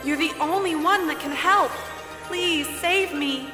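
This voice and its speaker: A woman playing a young alien princess in distress in a children's cartoon, pleading in drawn-out phrases. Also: very high-pitched, reverberant, long reverb tail, over loud music. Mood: pleading, urgent.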